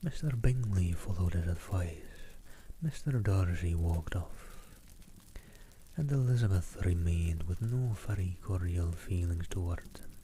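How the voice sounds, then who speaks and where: A man from the Netherlands reading a novel aloud in a soft, hushed ASMR style on a close microphone. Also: sits low, lively intonation, a little slow, slight background noise, poor audio. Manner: soft, hushed, often whispered.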